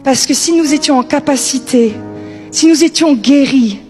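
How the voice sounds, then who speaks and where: A woman's voice: high-pitched, normal speed, a little mellow, faint music behind. A woman preacher giving an informal sermon in a conversational, emphatic way, repeating key phrases.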